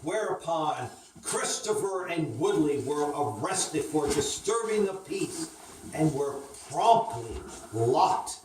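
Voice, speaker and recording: A woman's voice: deep voice, animated, slow, a little mellow. A woman storyteller reading aloud a children's story. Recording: echoey room, distant mic, faint noise.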